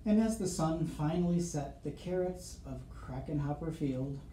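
A middle-aged man reading a children's picture book aloud expressively, with suspenseful pauses and asides to young listeners. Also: fairly high pitch, mellow. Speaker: school storyteller reading to children. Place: small office, seated at desk. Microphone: camera across the desk. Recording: roomy office sound, faint background noise.

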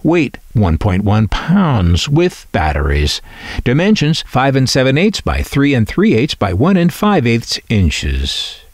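A man presenting and reading catalog copy aloud as scripted narration, in a deliberate, itemized way. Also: mid-range voice, huge pitch swings, no echo, clean studio sound.

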